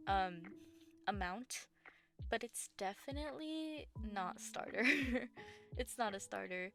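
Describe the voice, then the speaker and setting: A woman with a scratchy, gravelly voice, hoarse after bronchitis, giving casual voice-over commentary over gameplay. She rambles, packs her talk with fillers, and corrects herself. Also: a little high, fairly bright tone. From Southern United States. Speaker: game builder and channel host. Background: background music.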